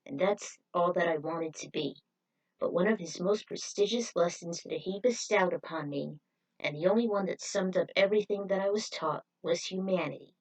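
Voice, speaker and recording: A woman's voice: on the low side, poor audio. A woman voicing an earnest young male hero who reflects solemnly and sincerely in an amateur fan fiction reading.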